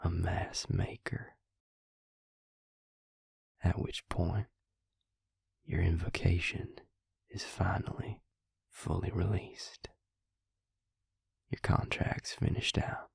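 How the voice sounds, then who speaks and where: A man playing a devious, smug vampire recruiter in an intimate audio roleplay, speaking in a husky, breathy half-whisper with a slow, sinister drawl. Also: deep voice, level tone, no echo, full character voice. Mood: smug, explaining. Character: devious male vampire recruiter, smug, coolly menacing, manipulative. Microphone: close, dry microphone.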